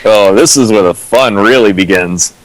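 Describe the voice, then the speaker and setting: A man's voice: fairly low pitch, normal speed, no echo, poor audio. A young man giving casual comedic commentary, dry and wry, as a co-riffer on a reading.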